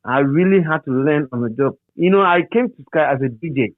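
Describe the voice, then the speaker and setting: A middle-aged Ghanaian man reminiscing as an interview guest in a halting, repetitive, animated storytelling manner. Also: on the high side, dry recording. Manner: halting, repeats words and phrases, animated storyteller. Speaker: interview guest, radio DJ and presenter. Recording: thin phone-like sound.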